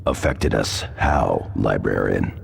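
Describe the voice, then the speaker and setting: An actor playing a terse, hard-bitten veteran space marine in a video game, very deep and gravelly. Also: male voice, animated, takes it slowly, a little hiss or hum, exaggerated voice. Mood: indignant. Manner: curt, suspicious. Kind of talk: video game character acting.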